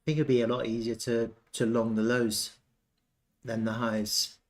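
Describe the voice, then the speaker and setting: A man with a mid-range voice talks through analysis in an unscripted, casual way. He works out his thoughts as he speaks, often halting and circling back over his own words, like an analyst commenting live on a chart. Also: medium pitch, level tone. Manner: thinking aloud, halting, repeats himself.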